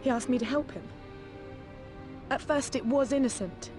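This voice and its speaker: An actress playing a poised, calculating female criminal mastermind in a cinematic video game, speaking in a composed, measured way. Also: high voice, over music, lightly acted. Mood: confessional, resigned.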